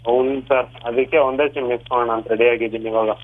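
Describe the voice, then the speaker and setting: A man's voice: mid-range voice, flat delivery, quick pace. A man of twenty-two in a casual phone conversation, polite but curt and ready to argue. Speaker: prank call victim, shop worker. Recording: noisy phone call.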